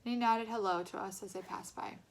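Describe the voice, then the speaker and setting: A woman reading a storybook aloud as an expressive narrator. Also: medium pitch, brisk, a little hiss or hum.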